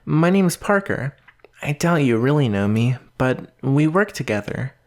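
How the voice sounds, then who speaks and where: A man playing an obsessive, lovestruck stalker in an intimate ASMR roleplay, with halting, murmured delivery. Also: mid-range voice, sing-song. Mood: awkwardly friendly. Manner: slow, halting, breathy murmurs, nervous laughs. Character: obsessive, lovestruck young male stalker, softly menacing captor.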